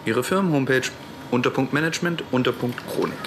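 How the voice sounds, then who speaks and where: An actor playing a dry, confident young tech founder in a naturalistic radio drama. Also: male voice, mid-range voice, quick pace, noisy background, close to an everyday voice. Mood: self-assured.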